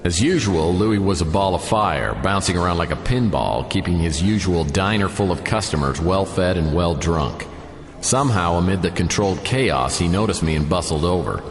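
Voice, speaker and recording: An American man playing a down-on-his-luck private detective in a noir radio drama, narrating with deadpan, self-mocking dryness. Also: low-pitched, normal speed, long reverb tail. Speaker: lead character and narrator. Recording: studio radio drama over music. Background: prominent music.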